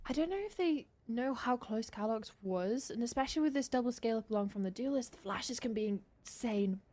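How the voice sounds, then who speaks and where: A woman's voice: fairly high pitch, fairly quick, warm tone, a little hiss or hum. A woman giving live analytical commentary on an esports match in a conversational, explanatory way.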